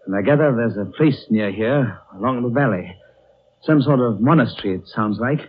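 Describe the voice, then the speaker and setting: An actor playing a calm, authoritative British leader of stranded travellers in a 1930s radio drama, terse and composed. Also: male voice, medium pitch, moderate pace, lightly acted. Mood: grave, composed. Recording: muffled, noisy 1930s radio recording.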